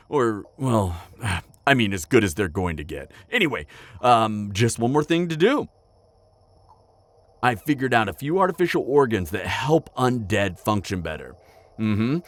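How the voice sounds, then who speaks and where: Man voicing a lively low-voiced, chatty necromancer. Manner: casual, hesitant asides, frequent chuckling. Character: chatty, upbeat, pragmatic male necromancer, former tailor. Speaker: voice actor in audio roleplay. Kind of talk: conversational roleplay addressed to the listener.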